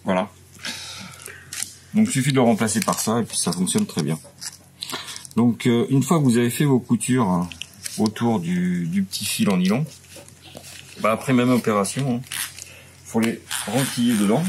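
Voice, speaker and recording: Low-voiced Frenchman explaining a repair. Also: normal speed, pauses often, darkish tone, slight background noise. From France. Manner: hesitant, many "euh" and restarts. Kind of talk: casual how-to demonstration. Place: large indoor workshop.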